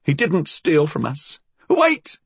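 A man voicing a frail, vicious old blind woman in a story, hissing and husky, with a cunning, formal way of speaking. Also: a little high, very animated, no room sound, voice pushed up, heavily acted. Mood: frightened, defensive. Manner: slow, cunning, menacing, formal.

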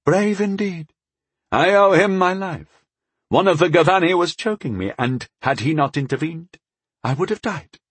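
A man's voice: a little high, lively intonation, normal speed, breathy edge, no room sound, pitched above the performer's own voice. A man voicing a stern, mighty old elven lord in a fantasy audiobook, speaking in a formal, commanding way. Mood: grateful, solemn. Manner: lordly, formal, emphatic. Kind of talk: audiobook character voice.

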